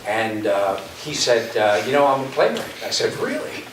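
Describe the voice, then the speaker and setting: A man with a mid-pitched voice gives an informal stage introduction. He talks in halting, anecdotal bursts with many pauses, picked up from a distance in an echoey, slightly noisy space. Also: very animated. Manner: halting, anecdotal, pausing often. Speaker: theater artistic director introducing a play. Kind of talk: informal live introduction speech. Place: community center stage. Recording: echoey hall, distant mic, background noise.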